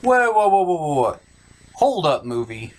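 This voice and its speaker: A woman's voice: deep voice, sing-song, measured pace. A woman giving scripted comedic movie commentary with a sarcastic, exasperated manner. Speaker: comic movie reviewer.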